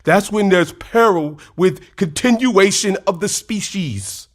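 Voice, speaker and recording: Audio drama: older male zealot, high voice, preachy, brooding, despairing. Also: slow. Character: despairing older husband, obsessive zealot, self-blaming.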